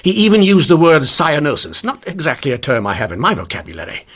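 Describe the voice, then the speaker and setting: A shrewd male inspector in a radio mystery. His voice sits a little high and swings widely in pitch. He is commanding, with dry sarcasm. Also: huge pitch swings, fast, fairly bright tone, heavily acted. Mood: intent, deducing. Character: sardonic, shrewd male police inspector in 1895 Ontario. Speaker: actor in lead role. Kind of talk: radio mystery drama acting.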